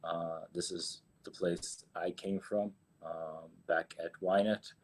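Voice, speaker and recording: Very deep-voiced Israeli man. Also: fairly even intonation, takes it slowly. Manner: hesitant, many fillers and restarts. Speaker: guest, agency founder. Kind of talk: casual interview.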